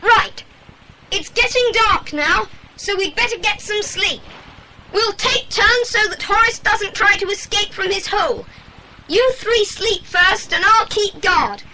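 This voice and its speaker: A woman's voice: extremely high pitch, measured pace, pushed and bright, a little hiss or hum, heavily acted, low-quality recording. A woman playing a bold, bossy boy who leads his friends in a children's audio drama, with a commanding manner. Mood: decisive. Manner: commanding, decisive.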